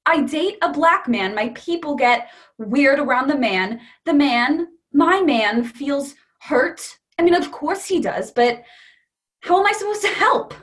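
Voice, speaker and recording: Woman acting frank, defensive Brooklyn girlfriend, higher-pitched. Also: animated, unhurried, fairly bright tone. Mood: defensive, upset. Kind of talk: staged play reading.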